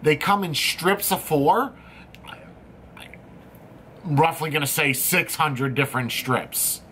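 A man's voice: on the high side, animated, slow pace, bright, forceful tone, slight background noise. A man, chatting casually and swearing as he tastes candy on his vlog. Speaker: host. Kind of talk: casual candy-tasting chat. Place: studio room with equipment racks.

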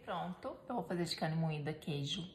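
A woman's voice: low voice, expressive, moderate pace. A woman in her thirties demonstrating a recipe in her home kitchen, chatting playfully with viewers and her helper as she works. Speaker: home cooking vlogger. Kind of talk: casual cooking demonstration. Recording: echoey kitchen with clear background noise.

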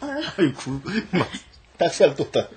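A man's voice: fairly high pitch, sing-song, takes it slowly, a little hiss or hum. A man in his thirties playing an easygoing, teasing father in a children's family drama. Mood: sleepy, amused. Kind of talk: naturalistic family drama acting.

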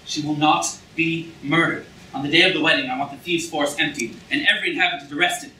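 Guy acting as a sneaky smug prince onstage, highish sharp voice, far off in an echoey room. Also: steady tone, quick pace. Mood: commanding. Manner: theatrical, self-satisfied. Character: smug, scheming, treacherous young prince. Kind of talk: staged reading of a comic play. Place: auditorium stage, recorded from the audience. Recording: echoey hall, far camera, room noise.

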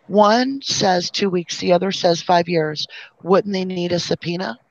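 A woman's voice: fairly low pitch, a little slow, creaky, a little hiss or hum. A woman hosting a live chat discussion, reading out questions and passing them to the panel. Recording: dull lo-fi online call audio.

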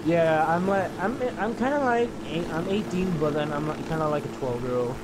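Excitable Welsh streamer with loud game noise. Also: male voice, a little fast. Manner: excitable, joking, jumps up in pitch when hyped. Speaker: gaming streamer. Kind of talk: casual co-op gameplay chat.

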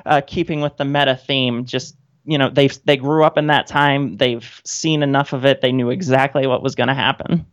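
A woman's voice: a bass voice, fairly even intonation, moderate pace, hardly any pauses, no room sound. A woman in her thirties chatting casually and wryly as a guest in a radio interview. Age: 30s. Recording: dull, band-limited audio.

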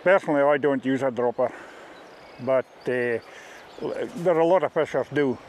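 Halting Scottish man with outdoor background noise. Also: mid-range voice, a little mellow. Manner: halting, plain-spoken. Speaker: fishing ghillie on a river beat. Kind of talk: informal interview-style explanation of a fishing beat. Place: outdoors on a riverbank. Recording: outdoor ambient noise behind voice.

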